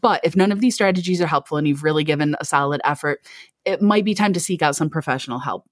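A woman's voice: low voice, quick pace, dry recording, studio quality. A woman co-hosting an advice podcast in a conversational, informal way, given to joking asides. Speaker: podcast co-host.

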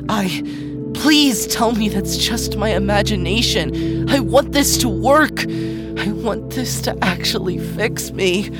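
A woman playing an anxious, distraught young patient in an audio drama, halting and pleading. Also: medium pitch, expressive. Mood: distressed, pleading. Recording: loud music under the voice. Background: music.

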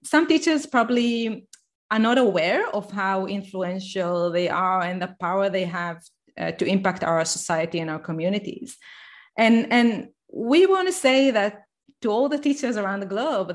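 Eager woman co-hosting a podcast. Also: medium pitch. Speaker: podcast co-host. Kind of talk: casual chat.

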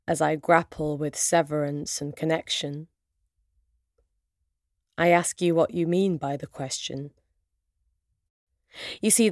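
A woman with a British accent and Arabic as her first language, reciting spoken word poetry in measured, deliberate phrases with pauses. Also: deep voice, a little mellow, no echo, clean studio sound.

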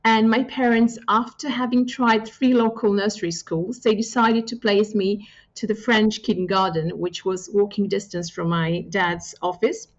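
A woman's voice: mid-range voice, fairly even intonation. Thoughtful woman, muffled audio. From Greece. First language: Greek. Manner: thoughtful, with frequent pauses and fillers. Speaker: guest. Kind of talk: reflective interview answers.

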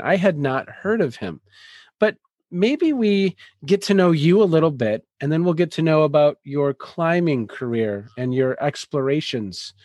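A man's voice: on the high side, no echo. Dry-voiced male podcast host. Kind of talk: podcast interview.

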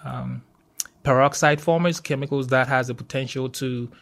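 A man with a Ghanaian accent speaks conversationally, as a guest in an interview. His pitch is average and stays fairly flat, and he moves haltingly, often repeating and rewording a phrase. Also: vocal fry. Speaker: university safety professional, interview guest.

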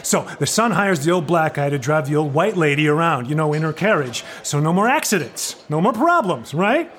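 Glib theatre adviser: high, swooping voice, flippant salesman's patter, condescending, comic audio play. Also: sing-song, normal speed, fairly bright tone. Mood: breezy, pushy. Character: pushy, glib male theatre pro giving advice. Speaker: actor. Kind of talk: comic podcast play dialogue.

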